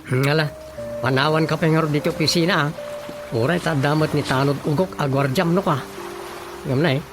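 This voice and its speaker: Casting: man as village councilman, high male voice, wry and teasing, folksy radio comedy serial. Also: brisk, music in the background, close to an everyday voice. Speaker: radio drama actor. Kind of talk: radio drama comedy acting.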